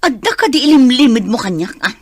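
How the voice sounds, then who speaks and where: A woman's voice: sits high, big pitch swings, fast, breathy edge, no echo, heavily acted. A Filipino-accented woman playing a hurt, suspicious wife in an emotional, pleading radio drama style. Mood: accusing, upset. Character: hurt, suspicious wife confronting her straying husband.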